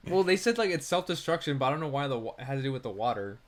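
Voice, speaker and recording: A man's voice: mid-range voice, expressive, very fast. A man with a mid-range voice talks as a podcast co-host reviewing films. His delivery is informal and slangy, like loose chat between friends. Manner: informal slangy talk, peppered with "like" and "dude". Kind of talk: casual film review chat.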